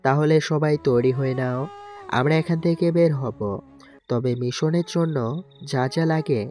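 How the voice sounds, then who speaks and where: A man playing a composed, duty-bound navy commander in an audio drama, speaking in a measured, authoritative, reassuring way. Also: a little high, steady tone, a little mellow, close to an everyday voice. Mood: commanding, serious. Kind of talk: Bengali audio story acting. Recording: music bed under the voice.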